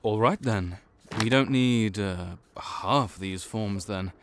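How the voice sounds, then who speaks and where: A man's voice: on the low side, takes it slowly, slight background noise. A man voicing a male vampire intake officer in an audio roleplay, matter-of-fact and wry as he walks through the paperwork. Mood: businesslike.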